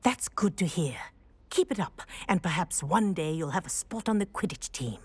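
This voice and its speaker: A woman voicing a brisk female flying teacher who encourages a student and gives lessons. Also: on the low side, lively intonation, husky, dry recording. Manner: brisk, instructive. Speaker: flying professor.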